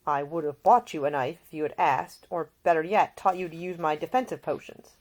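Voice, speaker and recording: A woman voicing a seasick gentleman in a storybook, weary, gentle and affectionate. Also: sits low, brisk. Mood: earnest.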